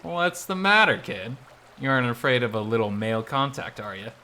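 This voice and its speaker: A man's voice: mid-range voice, a little fast, sharp tone, slight background noise. A man playing a self-assured, teasing male wilderness guide in a visual novel, explaining things in a wry way.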